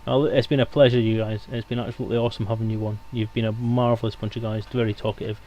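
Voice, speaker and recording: A man's voice: lowish voice, level tone, fast, warm tone, slight background noise. Man hosting a casual livestream. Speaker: livestream host. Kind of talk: casual sign-off chat to guests and viewers.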